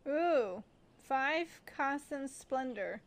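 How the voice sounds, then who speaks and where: A woman's voice: high-pitched, lively intonation, very slow, slight background noise. A woman game streamer giving casual live commentary, reading aloud and reacting. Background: game music.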